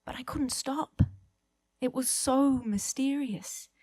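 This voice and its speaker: A woman's voice: sits high, takes it slowly, a little mellow, no room sound. A woman reading a first-person literary short story aloud as a guest reader at an event.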